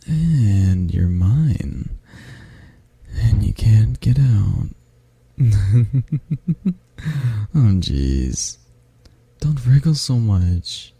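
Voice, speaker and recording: A man as a gravelly, low, flirty half-dragon. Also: no echo, exaggerated voice. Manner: flirty, gentle, teasing, halting. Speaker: roleplay voice actor. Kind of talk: intimate ASMR roleplay.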